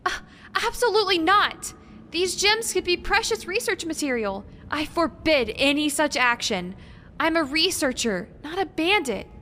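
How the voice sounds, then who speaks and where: A woman's voice: high voice, very animated, moderate pace, bright, forceful tone, exaggerated voice. A woman playing a careful, bookish young researcher in an audio drama, earnest and precise, hesitant when unsure. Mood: indignant, alarmed. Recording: faint background noise.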